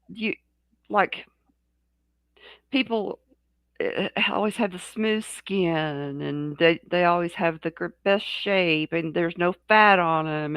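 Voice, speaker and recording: Co-host with a gravelly voice, dry close sound, frank and rambling. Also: medium pitch, a bit bright, dry recording. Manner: rambling, frank, many restarts. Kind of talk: casual chat.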